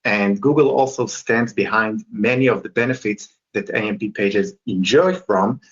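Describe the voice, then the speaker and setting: Israeli man, guest presenter, lower voice, orderly explainer, muffled call audio. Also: moderate pace, husky. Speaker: guest presenter, company co-founder. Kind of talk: webinar presentation.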